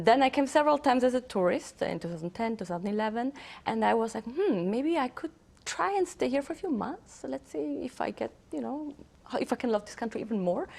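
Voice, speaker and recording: A woman's voice: fairly high pitch, creaky, a little mellow. A woman in her thirties from Switzerland, answering interview questions in a chatty way with frequent fillers. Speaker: interview guest. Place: TV studio.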